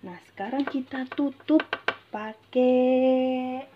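A woman's voice: high-pitched, fairly even intonation, slow pace, muffled recording. A woman from Indonesia gives a casual, unscripted cooking tutorial in a chatty, repetitive way, dropping in English words. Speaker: home cooking vlogger. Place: home kitchen, indoors. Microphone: handheld phone behind the camera. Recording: noisy kitchen with frying sizzle. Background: frying sizzle, stir noises.

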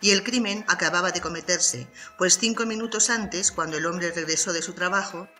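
An audiobook narrator reads a scripted crime story in a bright, forceful voice of medium pitch. The sound is roomy and echoey, with music underneath. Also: normal speed.

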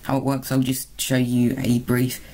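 Mellow dark voice, medium pitch, informal tutorial narration, halting delivery, hobbyist presenter. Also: completely flat delivery. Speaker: video host, hobbyist presenter.

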